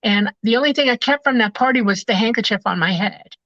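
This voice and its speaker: A woman's voice: medium pitch, quick pace, fairly bright tone, dry recording, lo-fi sound. A woman with Swedish as her first language, telling her story earnestly on a video call with hesitant restarts. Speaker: guest. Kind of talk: casual video call conversation.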